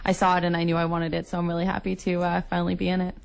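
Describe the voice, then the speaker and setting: Fast-talking, enthusiastic young woman. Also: fairly low pitch, completely flat delivery, creaky. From Nova Scotia, Canada. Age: 20s. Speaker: interview guest. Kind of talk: casual interview chat.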